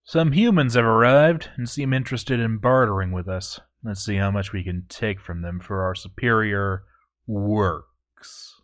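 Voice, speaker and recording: A proud dwarf overseer, acted out by a man, narrates his fortress journal in a fairly low voice. He is formal and measured, with a self-important, dutiful air, as in a scripted fantasy story. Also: fairly bright tone. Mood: brisk, disapproving. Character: proud, stern male dwarf fort overseer, grandly dutiful.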